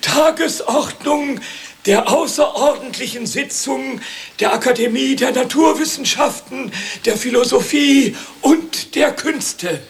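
Officious secretary reading out the agenda, super high creaky voice, radio comedy. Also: male voice, unhurried. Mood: officious, solemn. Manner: proclaiming, formal, officious. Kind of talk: satirical radio play acting.

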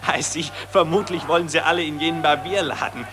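Actor as a fussy, high-voiced academic gentleman. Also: brisk, natural voice. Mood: detached, musing. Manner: stilted, fussy, pompous. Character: fussy, pompous, agreeable academic colleague with stilted phrases. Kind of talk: satirical radio play acting.

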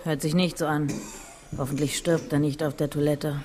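A woman's voice: low voice, little pitch movement, normal speed, background noise, lightly acted. An actress playing a down-to-earth, matter-of-fact local woman in a crime radio drama. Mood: matter-of-fact, cautioning. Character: down-to-earth diner woman, matter-of-fact mother warning travellers.

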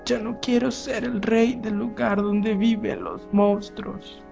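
A woman's voice: on the high side, flat delivery, slow, vocal fry, darkish tone, highs cut off, soft background music, exaggerated voice. A woman voicing a bold, mischievous little boy in a children's storybook radio play. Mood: homesick.

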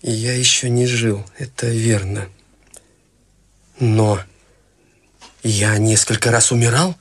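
A man's voice: mid-range voice, fairly even intonation. A young actor playing an awkward, morbid young man in a radio play, telling stories hesitantly and dryly. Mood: deadpan. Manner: hesitant, halting, dry storytelling. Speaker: Harold, lead role in radio play. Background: music.

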